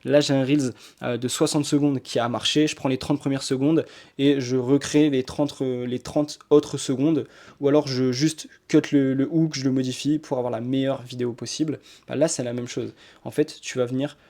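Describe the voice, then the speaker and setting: Gravelly young man giving pushy advice. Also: mid-range voice, fairly even intonation, normal speed, darkish tone. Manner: rambling, repetitive, pushy advice with fillers. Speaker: marketing coach. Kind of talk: casual motivational talk to camera.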